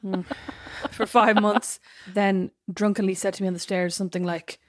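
A woman's voice: medium pitch, steady tone, moderate pace, no room sound, clean studio sound. A young woman co-hosting a podcast, bantering and laughing at herself. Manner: laughing, self-mocking banter. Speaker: podcast co-host. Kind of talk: casual chat.

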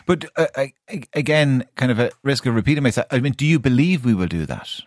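A man's voice: mid-range voice, animated, fast, a little mellow, no echo, studio quality. A man hosting a radio interview, asking probing, persistent questions. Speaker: radio host.

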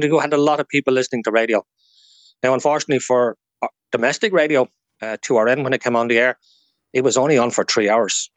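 A man's voice: mid-range voice, dry recording. A man with an Irish accent talking as a guest historian in a radio interview, explaining things informally through anecdotes.

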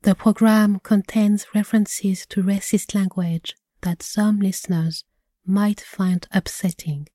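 A woman narrator reads a scripted documentary introduction. Her voice is soft-toned and mellow at a middle pitch, recorded very dry with no room sound. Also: level tone, slow pace, no room sound.

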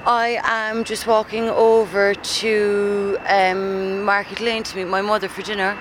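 A talkative woman chatting with a radio reporter on the street. She speaks easily and at length. Traffic noise is loud behind her. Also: medium pitch, flat delivery, unhurried.

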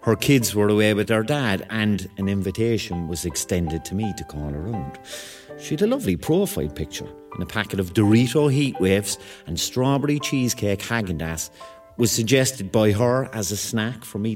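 Man acting low-voiced wry Cork lad. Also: lively intonation, normal speed, a little mellow, faint music behind, close to an everyday voice. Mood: wry, rambling. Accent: Cork Irish. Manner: rambling, wry, confessional storytelling. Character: lost thirty-year-old Cork man, wry, self-mocking, hapless romantic. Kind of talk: dramatic monologue in a radio drama.

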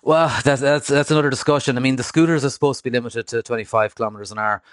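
A man from rural Cork with an Irish accent, making measured, reasoned points as an expert guest in a live radio discussion. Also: mid-range voice, steady tone, dry recording, studio quality. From rural County Cork, Ireland. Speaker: motoring editor, interview guest.

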